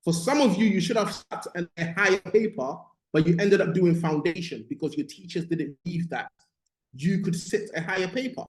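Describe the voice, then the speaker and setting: A man's voice: sits high, a little mellow. A man from Ghana who grew up in the UK, teaching as a motivational business coach, emphatic and repeating key phrases with frequent check-ins. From born in Ghana, moved to the UK at eight. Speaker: business mindset coach leading a course. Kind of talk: motivational teaching in a live online session. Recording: roomy, echoing sound.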